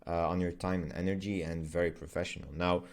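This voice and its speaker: A man's voice: low voice, level tone, a little fast. A young man whose first language is Arabic, talking casually through his business journey in a loose, filler-heavy way, often correcting himself. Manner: loose and conversational, many fillers and self-corrections. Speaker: agency founder vlogger. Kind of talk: casual explainer vlog.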